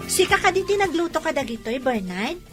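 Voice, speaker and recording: A woman's voice: sits high, big pitch swings, brisk, over music. A woman with an Ilocano Filipino accent playing a friendly, caring young woman in an audio drama. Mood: teasing, caring. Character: friendly, caring young woman named Sally, respectful and teasing. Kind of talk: amateur audio drama acting.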